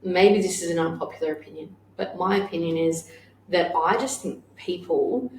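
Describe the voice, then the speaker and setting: A woman in her thirties, a business owner co-hosting a casual podcast chat, blunt and quick to swear and laugh. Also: lowish voice, darkish tone. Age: 30s. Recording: echoey room with faint noise.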